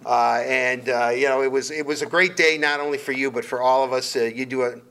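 A middle-aged man from Detroit, hosting a casual sports interview in a wordy, halting way. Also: mid-range voice, steady tone, a bit bright. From Detroit, Michigan. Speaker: sports show host, interviewer.

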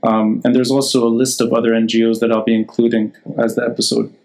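A man's voice: mid-range voice, completely flat delivery, fast, vocal fry, a little mellow, low-quality recording. A man hosting an unscripted live interview on a video call, talking informally and hesitantly, thinking aloud as he goes. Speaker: podcast host.

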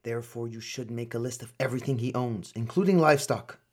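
A man acting in a radio play as a stern but protective older colonel and uncle figure, grave and deliberate. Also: medium pitch, darkish tone, natural voice. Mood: resigned, businesslike.

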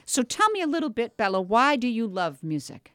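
A woman's voice: fairly high pitch, very animated, fairly quick, fairly bright tone, clean tone, no echo, studio quality. A middle-aged woman hosting a chatty radio interview in an effusive, encouraging way. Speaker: radio host and voice teacher interviewing young performers. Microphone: studio microphone with headsets.

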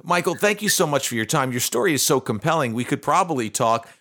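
A man's voice: medium pitch, lively intonation, fast talker, a bit bright. A middle-aged man hosting an interview, asking questions and praising his guest's story. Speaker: interview host. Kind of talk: friendly interview.

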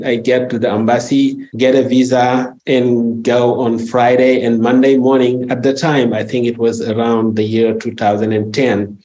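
A man from Senegal, a researcher guest, telling stories in a chatty, halting way. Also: medium pitch, mellow, poor audio. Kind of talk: interview guest.

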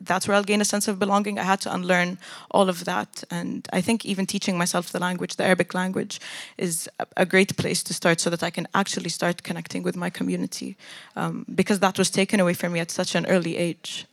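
An earnest young woman from Jordan tells her story candidly as a panel guest. Her voice sits at a middle pitch. The sound is dry and close, with almost no room in it. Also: little pitch movement, fast talker, no room sound. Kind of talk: personal reflective discussion.

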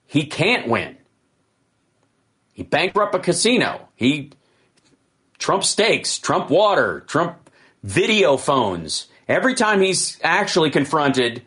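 A man filling in as host of a political talk radio show, talking fast and energetically with fillers and restarts. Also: on the high side, fairly bright tone.

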